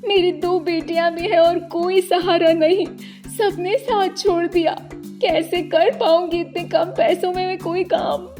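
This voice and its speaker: Cartoon mother bird, played by a woman: high-pitched, plaintive, poor and troubled, over clear music. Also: fairly even intonation, a little slow, a little mellow. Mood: sorrowful. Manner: plaintive, pleading. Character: distressed poor young mother bird, tearful and worried.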